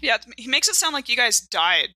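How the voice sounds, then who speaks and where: Bright-voiced woman joking on a podcast. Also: fairly high pitch, very animated, fairly quick, no room sound. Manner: joking, quippy. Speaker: podcast co-host and player. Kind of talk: casual chat.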